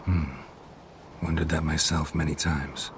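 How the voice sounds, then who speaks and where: A stoic fantasy game monster hunter, speaking in a very low, gravelly register. He is laconic and dry, deadpan in his delivery. Ambient noise sits behind the voice. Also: unhurried. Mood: guarded, dry. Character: gruff, stoic male monster hunter, dry-witted and terse. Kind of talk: video game voice acting.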